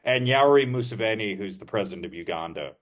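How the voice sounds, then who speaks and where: A man co-hosting a podcast, explaining history in a measured, casual way, thinking aloud with frequent ums and uhs. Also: lowish voice, animated.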